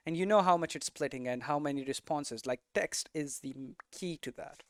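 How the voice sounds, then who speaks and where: A man giving an informal explanatory lesson as a presenter, posing questions and answering them himself in halting, emphatic phrases. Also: a little high, dry recording. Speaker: presenter of a game development explainer series.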